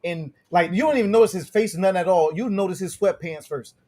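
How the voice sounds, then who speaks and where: A man with a high voice co-hosts a podcast in loose, rambling talk. He restarts his sentences and acts out pickup lines along the way. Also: brisk, darkish tone. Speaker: podcast co-host. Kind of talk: casual chat.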